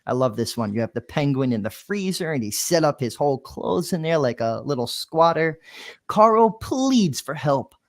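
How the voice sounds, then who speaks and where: A man's voice: a little high, sing-song, normal speed. A man, a children's author, reading his picture book aloud playfully, with lots of sound effects.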